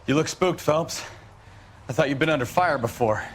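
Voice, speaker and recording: A man's voice: a little high, moderate pace, slight background noise, close to an everyday voice. An American man playing a blunt, wry, eager 1940s police detective's partner in a video game. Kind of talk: dramatic video game acting.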